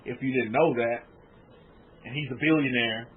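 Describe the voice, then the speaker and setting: A man's voice: medium pitch, moderate pace, breathy edge. A man preaching a sermon, exhorting his listeners, whom he calls family, and repeating his points again and again. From United States. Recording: tinny phone-like line.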